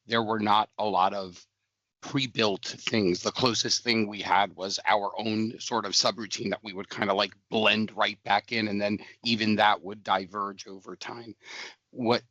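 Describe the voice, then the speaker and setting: Hoarse man on a muffled call. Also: fairly low pitch, steady tone, unhurried, pushed and bright, no room sound. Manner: rambling, advice-giving, many restarts. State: hoarse, lost voice. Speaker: community member, former developer. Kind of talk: casual video call chat.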